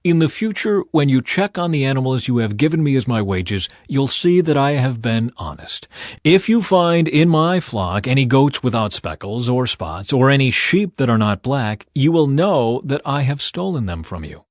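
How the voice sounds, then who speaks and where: Male narrator as insistent ancient shepherd, earnest and emphatic, animated mid-range voice, scripture storytelling. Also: mid-range voice, moderate pace, no room sound, clean studio sound, natural voice. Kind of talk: Bible audiobook character reading.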